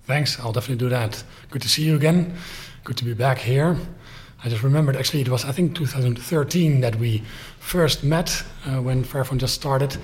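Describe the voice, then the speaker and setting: A man speaking as a guest on a podcast, talking briskly and in a businesslike way with some fillers. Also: mid-range voice, a little mellow. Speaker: guest, company founder. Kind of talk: podcast interview.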